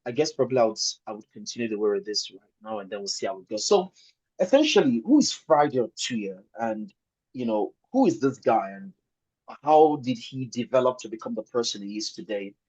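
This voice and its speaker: Husky Nigerian man, muffled call audio. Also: medium pitch, expressive, fairly quick, darkish tone. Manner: conversational, repeats and rephrases for emphasis. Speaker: webinar speaker. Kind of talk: informal presentation telling a personal story. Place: online video call with screen sharing.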